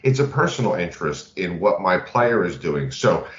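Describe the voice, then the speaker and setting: A man's voice: deep voice, very animated, moderate pace, reverberant, highs cut off. A man chatting casually as a podcast co-host about tabletop games, loosely rephrasing his thoughts as he goes.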